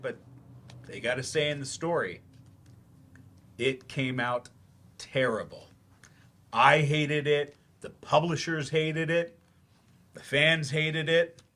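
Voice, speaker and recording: A man playing a weary, regretful novelist turned teacher in a staged play reading, halting, self-deprecating and confessional. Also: fairly high pitch, a bit bright. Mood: bitter, resigned. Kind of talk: staged dramatic monologue.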